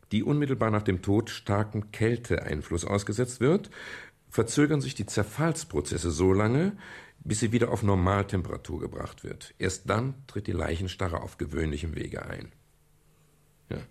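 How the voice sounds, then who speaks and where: An actor playing a calm, reflective private detective in a German radio crime drama, speaking in a measured, explanatory way with a gravelly, husky voice. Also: male voice, on the low side, heavily acted. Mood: calm explaining. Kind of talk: German radio crime drama, dialogue and first-person narration.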